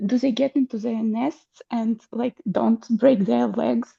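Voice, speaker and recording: Brief: woman, dark husky voice, muffled lo-fi recording, hesitant questioner. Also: a little high, fairly even intonation, a little slow. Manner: tentative, polite. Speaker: audience member asking questions. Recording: dull lo-fi sound.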